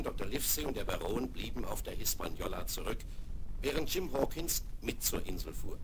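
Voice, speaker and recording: Male narrator, lively storyteller, heavy record noise. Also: medium pitch. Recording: loud vinyl crackle.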